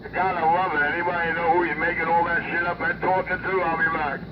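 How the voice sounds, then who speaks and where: A man chatting over CB radio, rambling and halting as he jokes back at the other operator. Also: a little high, level tone. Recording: muffled CB transmission with loud static.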